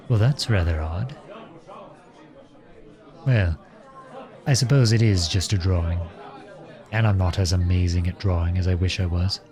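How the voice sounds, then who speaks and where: A man playing an earnest, lovestruck outlawed prince turned soldier in an audio roleplay drama, speaking softly and with measured pauses. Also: low-pitched. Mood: shy, wistful.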